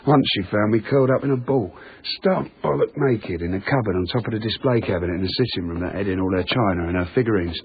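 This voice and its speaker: An actor playing an odd, withdrawn night-shift security guard in a radio drama, deadpan and matter-of-fact. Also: male voice, lowish voice, quick pace, hardly any pauses, natural voice. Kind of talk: naturalistic radio drama dialogue. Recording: tinny band-limited radio broadcast, audible noise.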